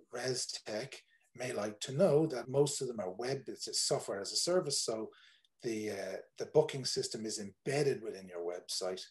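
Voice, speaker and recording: A man's voice: fairly low pitch, darkish tone. A man in his forties with an Irish accent, a tour operator talking casually on a video call, rambling with many fillers and restarts. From Ireland. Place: home room, indoors.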